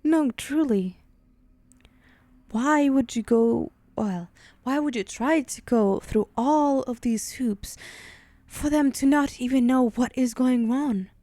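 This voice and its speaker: A woman's voice: high-pitched, takes it slowly, dark tone, dry recording. A woman voicing a vain, sly demon in an ASMR roleplay, speaking in a haughty, teasing, theatrical way with sighs. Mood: incredulous. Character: vain, sly female demon striking soul bargains. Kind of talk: ASMR roleplay monologue to the listener.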